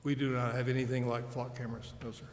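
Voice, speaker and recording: A man's voice: medium pitch, flat delivery, fast talker. A man answering questions as a police chief, matter-of-fact and formally polite. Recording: echoey council chamber, faint noise.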